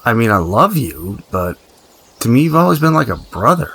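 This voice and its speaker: An actor playing a practical, blunt but uneasy survivor and tinkerer in an audio drama, talking in a halting, gruffly casual, profane way. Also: male voice, medium pitch, very animated, bright, forceful tone, exaggerated voice. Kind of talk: audio drama dialogue, naturalistic.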